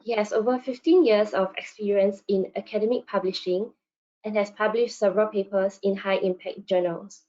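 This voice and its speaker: Mellow woman hosting, dull webinar audio. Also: medium pitch, fairly even intonation, moderate pace. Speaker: webinar host. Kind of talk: formal scripted announcement.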